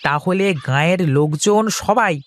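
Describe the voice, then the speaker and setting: High-voiced scheming village man, acted by a man. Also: normal speed, a bit bright. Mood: persuasive. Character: scheming, eager younger village man with a plan. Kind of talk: Bengali cartoon dialogue.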